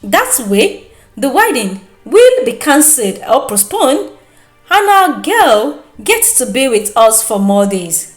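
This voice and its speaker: Woman acting pushy, scheming young friend, animated. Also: high-pitched, slow pace, roomy sound, soft background music, exaggerated voice. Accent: Nigerian. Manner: gossipy, goading, theatrical.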